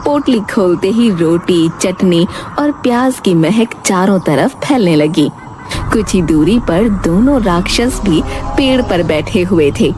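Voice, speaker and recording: A woman's voice: on the low side, animated, slow pace. A woman narrating a scripted children's story in a storytelling style. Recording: music behind narration.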